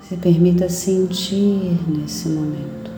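A Brazilian woman leading a guided meditation in a soothing, gentle, unhurried manner with long pauses. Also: fairly low pitch, over music.

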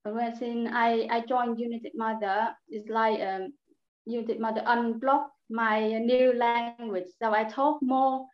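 A young woman with a Vietnamese accent, an English learner being interviewed, speaking halting second-language English. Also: a little high, fairly even intonation. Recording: echoey room, slightly dull sound.

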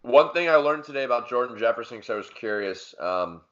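A man's voice: mid-range voice, animated, brisk, fairly bright tone, muffled recording. A man co-hosting a casual sports podcast, arguing his points emphatically and repeating them for force. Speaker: podcast co-host.

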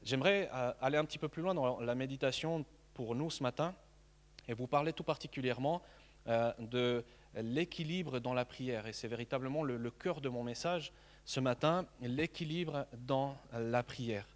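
A man in his thirties from Mexico, a native Spanish speaker, preaching a sermon in an earnest, halting way with many repeated words. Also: medium pitch, in a large hall.

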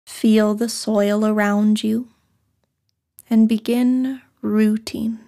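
Woman, meditation guide, soft breathy voice, very slow pace, little pitch movement, soothing, long pauses. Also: flat delivery. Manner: soothing, unhurried, short phrases with long pauses. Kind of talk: guided meditation, scripted narration.

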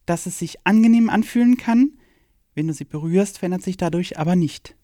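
A woman's voice: fairly low pitch, fairly quick, dark tone, no room sound, studio quality. Frank, matter-of-fact woman explaining. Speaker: performer in an audio play. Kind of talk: scripted educational radio play reading.

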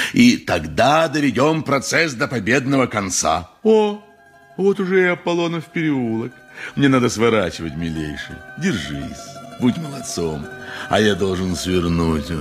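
A man's voice: lowish voice, big pitch swings, moderate pace, soft background music. A man acting a pompous, money-grubbing lawyer who poses as the workers' champion in a radio play, oratorical and patronizing. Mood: patronizing, coaxing.

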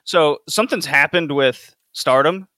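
A man talks loosely as a podcast host, quick and rambling, often breaking into laughter. His voice is bright and a touch high. The sound is close and dry. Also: no room sound. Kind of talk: casual chat.

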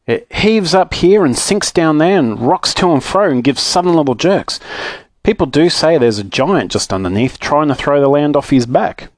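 Guy doing a jolly moon creature for a kids book, brisk, hearty, up and down a lot. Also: on the high side, animated, dry recording, pitched above the performer's own voice, close to an everyday voice. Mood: explaining. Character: cheery, grinning male moon-faced magical creature.

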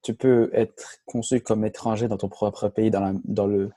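A young man hosting a podcast, discussing casually in a halting way with frequent restarts. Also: fairly low pitch, little pitch movement, warm tone, no room sound. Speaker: podcast host.

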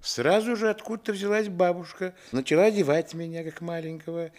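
A man's voice: high voice, a little fast, natural voice. An actor playing a grown man fondly recalling his village boyhood, narrating a literary radio play expressively. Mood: nostalgic. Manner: expressive storytelling.

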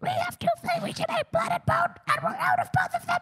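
A podcast performer voicing a creepy evil creature, part doll and part toad, in a squeaky, hoarse, menacing voice. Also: flat delivery, sharp tone. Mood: gleefully sinister. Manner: slow, drawn-out menace.

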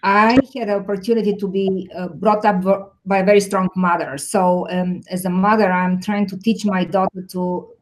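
A woman's voice: medium pitch, little pitch movement, normal speed, few pauses, poor audio. Bulgarian-born woman, thoughtful guest. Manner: thoughtful, many fillers and restarts. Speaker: guest, tech executive. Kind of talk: interview answers.

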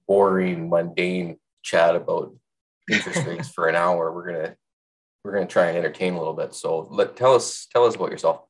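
A man's voice: deep voice, fairly even intonation, fairly quick, low-quality recording. A man with a Canadian accent hosting an interview as an informal, slightly rambling casual chat. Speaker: interview host.

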